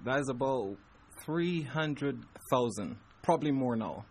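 A man's voice: mid-range voice, animated, unhurried, a little hiss or hum. A man from Barbados with a Barbadian accent, a comedian chatting laid-back as a studio guest on a radio show, laughing often. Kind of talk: casual chat.

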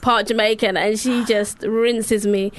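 A young woman from Sierra Leone co-hosting a radio show, animated and laughing often as she tells stories. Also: medium pitch, measured pace, noisy background. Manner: animated, laughing, lots of repeats. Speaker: co-host, rapper. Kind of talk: casual radio chat.

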